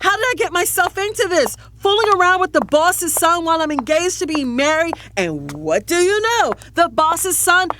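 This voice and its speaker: A woman's voice: very high voice, very animated, moderate pace, hardly any pauses, a bit bright, slight background noise, exaggerated voice. A woman acting as a proud, sarcastic young professional employee in an audio drama. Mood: sarcastic, panicked. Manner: sarcastic, emphatic.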